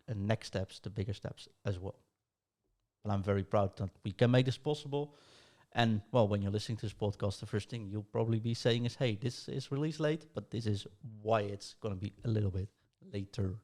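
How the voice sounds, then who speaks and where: A man's voice: on the low side, darkish tone. A man with a Dutch accent hosting a podcast, laying out show plans in a rambling, casual way with many fillers. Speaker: podcast host.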